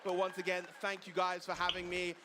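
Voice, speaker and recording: A man's voice: high-pitched, monotone, a little fast, background noise. A man doing live esports commentary at a tournament, speaking effusively and full of superlatives. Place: event stage with audience, heard through a stream.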